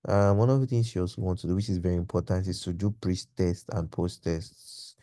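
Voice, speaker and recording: A man with a low, very dark and relaxed voice delivers an informal tutorial. He explains in a didactic way, working through example questions like an instructor. The sound is dry, with hardly any room around it. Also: a little fast, no room sound. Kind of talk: informal video lecture, explanatory.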